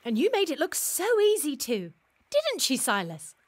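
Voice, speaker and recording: Playful wise regency lady, gentle philosophical type, high voice that swoops around a lot. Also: huge pitch swings, normal speed, slight background noise. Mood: playful, wry. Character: wise, wry, playful woman consoling a younger companion. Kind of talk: period audio drama acting.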